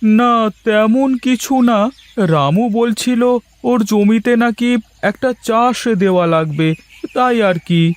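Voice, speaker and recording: A man's voice: high voice, slow, no echo. A man voicing an eager young village vegetable seller, an obliging younger brother, in a cartoon. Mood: hesitant. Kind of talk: Bengali moral cartoon dubbing.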